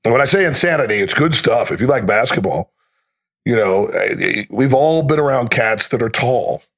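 A man's voice: mid-range voice, lively intonation, normal speed, vocal fry, fairly bright tone. A man talking casually as a podcast guest, telling stories like a sports broadcaster in short, clipped phrases with wry, slangy asides. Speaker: basketball radio play-by-play announcer, guest.

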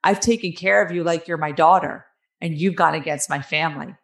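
A middle-aged woman with a New Jersey accent and a raspy, nasal voice, earnestly telling her own story as an interview guest. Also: low-pitched, brisk. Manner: earnest, emphatic, with many fillers. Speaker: guest author.

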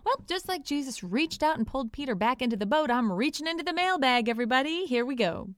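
Animated, fast-talking evangelical hostess played by a woman. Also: sits high, no echo, clean studio sound, pitched above the performer's own voice. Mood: bright, excited. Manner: gushing, emphatic, folksy. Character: earnest, indignant evangelical church podcast hostess. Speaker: podcast co-host. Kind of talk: improvised satirical church podcast banter.

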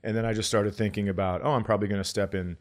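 A man hosting a comedy podcast, telling stories in an animated, grumbling, sweary way and acting out quotes. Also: lowish voice, very fast. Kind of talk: comic storytelling in casual podcast chat.

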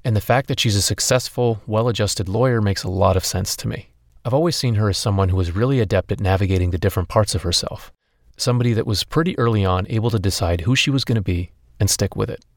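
A man's voice: fairly low pitch, fast, no room sound, clean studio sound. A young man who hosts and narrates a podcast, telling his own story openly and personally. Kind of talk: scripted narration and interviewing.

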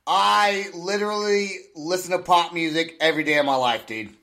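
A man's voice: on the high side, normal speed, sharp tone. A man from California hosting a podcast, chatting casually and joking, with frequent laughs and repeated words. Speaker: podcast host. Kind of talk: casual chat.

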